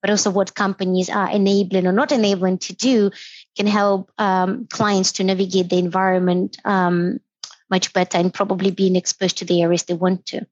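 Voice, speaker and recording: A woman's voice: mid-range voice, flat delivery, moderate pace, no room sound, muffled recording. A woman taking part as an investment specialist guest, speaking in careful, formal phrasing. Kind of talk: conversational explanation.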